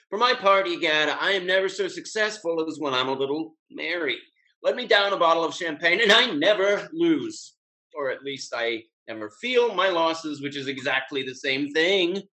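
Merry, grandiloquent young rake, highish voice. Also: male voice, bright, forceful tone, poor audio. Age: young adult. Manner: grandiloquent, declamatory. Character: merry, hard-drinking young rakish gentleman in love. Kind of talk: theatrical classical stage comedy acting. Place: home room, video call.

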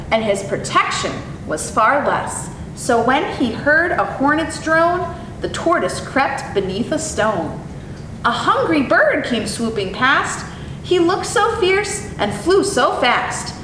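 A young woman presenting as a zoo educator and reading to children in an expressive, animated way. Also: slow pace. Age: young adult. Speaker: zoo educator and storytime host. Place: indoor room with painted animal mural. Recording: echoey, distant mic with clear rumbling noise.

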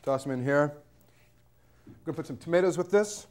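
Cheeky male cooking host, thirties, slightly high voice, jokey studio demo. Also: fairly quick, a little mellow. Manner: cheeky, joking, talks to camera. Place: studio kitchen set.

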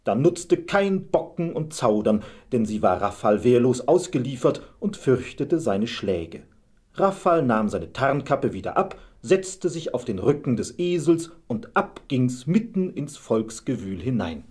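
A man with a husky voice narrating a scripted fairy tale as a storyteller. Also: medium pitch, sing-song, measured pace, darkish tone.

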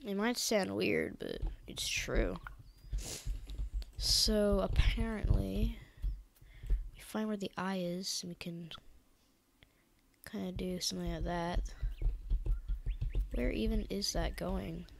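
Croaky-voiced sick teen girl. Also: mid-range voice, takes it slowly, pauses often, slight background noise. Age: teens. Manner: rambling, hesitant, quietly self-correcting. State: croaky from illness. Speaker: game tutorial narrator. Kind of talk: casual building tutorial commentary.

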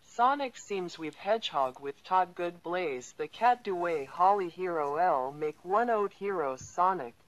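A woman reading out lines in a halting delivery. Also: lowish voice, animated, no echo, muffled recording. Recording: music under the voice.

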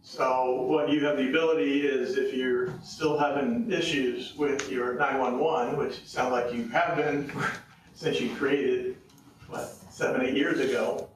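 A tired man speaks from a podium in a county meeting room, explaining his point at length with plenty of uhs. The room is very echoey and he sits back from the microphone. A faint hiss runs underneath. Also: mid-range voice. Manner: rambling, with many filler pauses. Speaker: public commenter on tax policy. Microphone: podium mic, heard from a distance. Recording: echoey room, far from the mic.

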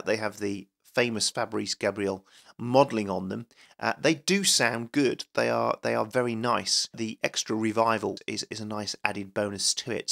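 A man casually talking through a screencast product demo as a reviewer, informal and unhurried in his explaining. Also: fairly low pitch, vocal fry, a bit bright. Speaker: audio software reviewer.

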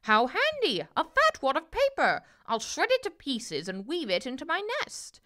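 A woman's voice: sits high, wildly swooping pitch, normal speed, sharp tone, voice pushed up, exaggerated voice. A woman voicing a kindly, fussy, motherly female jay in a squawking, exclaiming storybook voice. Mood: delighted, greedy. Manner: exclaiming, fussing. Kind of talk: children's storybook character voice.

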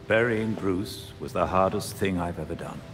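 An actor playing a dignified, loyal elderly British butler in a game cutscene, speaking in a measured, courteous, dry way. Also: male voice, low voice, over music, close to an everyday voice. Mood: solemn. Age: older. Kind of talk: video game cutscene acting.

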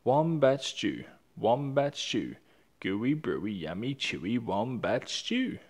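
Man playing a cocky, lively storybook dingo. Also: mid-range voice, takes it slowly. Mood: gleeful chanting. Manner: boastful, sing-song chanting. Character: cocky, gleeful clever male dingo.

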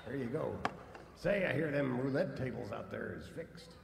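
A man's voice: mid-range voice, animated, moderate pace, creaky, reverberant, long reverb tail, crowd noise. A man voicing a chatty, folksy Western bartender in a radio comedy. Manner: folksy, gossipy.

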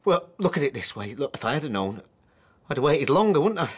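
A man acting a scheming, smooth-talking estranged husband in a naturalistic radio drama. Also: mid-range voice, big pitch swings, a little fast. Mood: pleading, soothing.

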